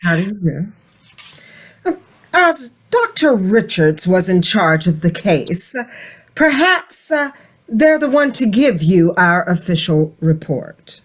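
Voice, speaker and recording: A woman's voice: medium pitch, sing-song, takes it slowly, breathy edge, full character voice. A woman playing a doctor in a radio drama, formal, official and hesitant.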